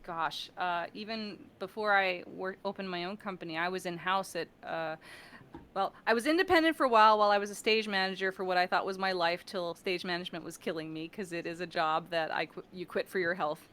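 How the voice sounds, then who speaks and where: A woman chatting casually as a guest, telling stories in an animated way and often interrupting herself. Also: fairly low pitch, fairly quick, clean tone. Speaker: guest, freelance game audio professional. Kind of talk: casual chat.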